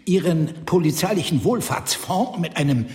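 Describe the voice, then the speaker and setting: Guy acting snooty sarcastic eccentric man, radio play, high voice, swoops all over, echoey room. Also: lively intonation, normal speed, a little mellow, close to an everyday voice. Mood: sardonic. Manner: sarcastic, haughty, eloquent. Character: sharp-tongued, scornful, eccentric older brother, a proud man. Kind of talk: audio drama acting. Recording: roomy, echoing sound.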